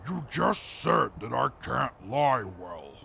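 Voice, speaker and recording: A man playing a proud, stubborn super mutant in a post-apocalyptic audio drama, gravelly and pompous in his formal wording. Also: medium pitch, sing-song, takes it slowly, sharp tone, slight background noise, heavily acted. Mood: grudging, haughty.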